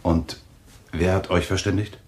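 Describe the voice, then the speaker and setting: A man playing a subdued, controlled husband in a German radio thriller, speaking in a measured way. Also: low-pitched, flat delivery, darkish tone. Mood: subdued, concerned.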